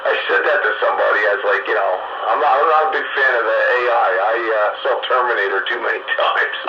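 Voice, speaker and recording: A man's voice: lowish voice, fast. A man chatting casually as an amateur radio operator, heard over a radio transmission. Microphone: two-way radio microphone. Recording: noisy muffled amateur radio transmission with buzzing.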